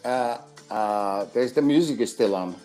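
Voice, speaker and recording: A man, a guest artist heard over a video call, wanders through his thoughts. His sentences stop and restart, and he circles back to find a word. His voice sits at an ordinary middle pitch for a man. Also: darkish tone, faint music behind. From Austria. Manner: rambling, halting, with many restarts. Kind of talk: casual interview talk.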